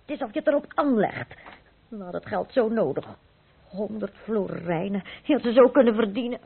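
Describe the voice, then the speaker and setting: An actress playing the worried, scolding wife of a penniless painter in a vintage radio play, husky and plaintive. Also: female voice, medium pitch, animated, fairly quick. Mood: reproachful. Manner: reproachful, plaintive, nagging. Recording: muffled narrow-band old radio recording.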